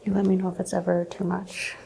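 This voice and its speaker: Soft, mellow woman murmuring ASMR instructions. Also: lowish voice, monotone, vocal fry, a little hiss or hum. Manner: gentle, reassuring, unhurried instructions. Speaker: ASMR host playing a chiropractor. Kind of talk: soft-spoken ASMR roleplay, often whispered.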